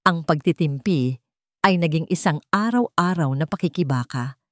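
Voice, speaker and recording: Babaeng Pilipinang tagapagsalaysay, mababa ang boses. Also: female voice, low-pitched, expressive, normal speed, dry recording, clean studio sound. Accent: Filipino. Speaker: story narrator. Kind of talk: scripted dramatic story narration.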